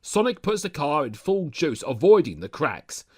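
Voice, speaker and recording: A man's voice: on the high side, very animated, moderate pace, breathy edge. A man narrating a scripted comic story recap, dropping sardonic asides to the listener. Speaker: narrator of a fan audio story.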